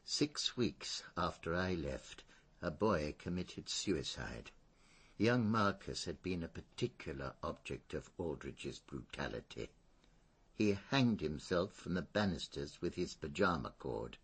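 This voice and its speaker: An ageing English schoolmaster in a radio drama, very husky and low. He is a dignified little man, pedantic and hesitant, unhurried in pace, with a melody that rises and falls as he explains himself. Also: very animated, fairly bright tone, exaggerated voice. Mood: grave, sorrowful. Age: older. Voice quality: husky, thin-voiced. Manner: hesitant, precise, formal, pedantic.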